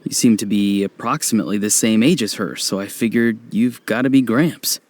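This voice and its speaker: A man's voice: fairly low pitch, a little fast, close to an everyday voice. Man playing an earnest, theatrically poetic young philosopher. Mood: earnest, hurt. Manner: formal, theatrical, solemnly flowery. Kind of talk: video game character acting.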